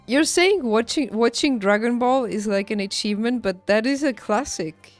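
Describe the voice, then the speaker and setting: A woman's voice: on the high side, moderate pace. Woman streamer chatting casually over music. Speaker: video game streamer. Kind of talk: casual livestream chat with viewers.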